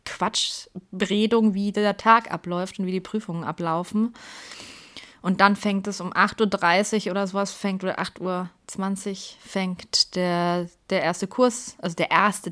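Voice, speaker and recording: A woman in her thirties from Germany hosting her own podcast, chatting casually in a rambling, self-correcting way and now and then dropping into a whisper. She sounds stressed before an exam. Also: mid-range voice. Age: 30s. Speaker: podcast host. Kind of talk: casual chat.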